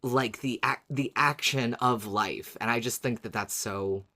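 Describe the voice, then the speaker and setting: A woman's voice: rumbling low, a little slow, sharp tone. Enthusiastic woman podcast co-host. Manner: enthusiastic, explains research at length. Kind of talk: casual discussion.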